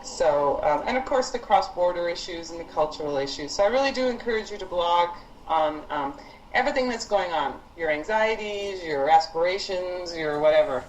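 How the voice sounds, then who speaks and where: A woman's voice: lowish voice, lively intonation, normal speed, slight background noise. Woman instructor on a roomy conference call. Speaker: programme leader and instructor. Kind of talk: informal conference-call discussion.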